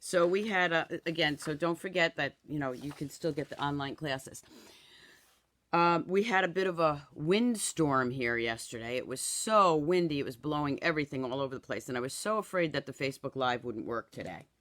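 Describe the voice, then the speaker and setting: A woman in her fifties, hoarse and raspy from a cold, chatting through a live craft demo and greeting viewers by name in a home craft room. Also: deep voice, moderate pace, fairly bright tone. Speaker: craft product demonstrator. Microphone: camera in front, then overhead camera.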